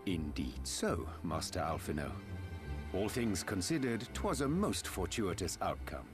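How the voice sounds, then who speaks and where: Game sage, low and richly inflected, archaic courtly phrasing, music behind. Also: male voice, sing-song. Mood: courteous. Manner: archaic, elaborate, measured diction. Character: scholarly, courtly male sage, verbose and deliberate. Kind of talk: video game dialogue acting.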